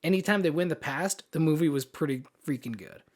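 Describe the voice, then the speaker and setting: A man's voice: a little high, animated, fast talker. A man co-hosting a podcast, talking casually and emphatically about his opinions in informal language. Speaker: podcast co-host. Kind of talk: casual opinionated film discussion.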